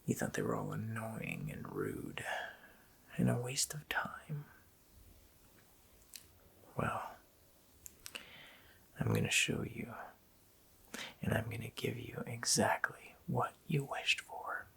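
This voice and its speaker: A man voicing a sinister, taunting Christmas demon in a slow, hoarse, menacing whisper for an ASMR roleplay. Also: lowish voice, sing-song, a bit bright, exaggerated voice. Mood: cold threatening. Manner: slow, menacing, drawn-out phrasing.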